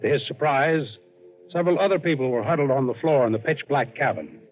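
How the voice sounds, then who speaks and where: Male host played by an actor for vintage radio adventure, medium pitch, storytelling narration on a thin, narrow recording. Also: lively intonation, fairly quick, natural voice. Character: adventurer host spinning a yarn. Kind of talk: scripted old-time radio narration. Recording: tinny old radio recording. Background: dramatic underscore music.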